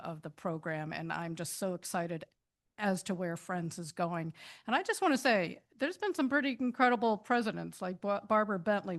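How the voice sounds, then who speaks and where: A woman's voice: lowish voice, fairly bright tone. A woman, the board president, speaking informally to a nonprofit's annual meeting. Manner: informal, loose phrasing. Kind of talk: casual speech at an annual meeting. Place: meeting hall.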